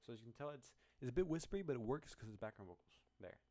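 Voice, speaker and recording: A man with a very low voice casually walking through his own song's project file, rambling and poking fun at himself. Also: animated, very fast, darkish tone. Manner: rambling, self-deprecating, many restarts. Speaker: musician explaining his song.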